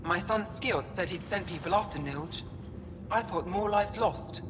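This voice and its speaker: A woman's voice: lowish voice, a little slow, noisy background, natural voice. An actress playing a driven alien resistance woman who speaks with urgency. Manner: exasperated, urgent. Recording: echoey game audio with music.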